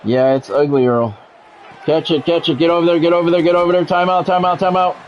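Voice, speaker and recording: A man streaming a football video game, giving excitable play-by-play and bluntly talking at the players in short, urgent, repeated phrases. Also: a little high, fast, dull audio, some chatter behind, a little hiss or hum. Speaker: gaming streamer doing play-by-play.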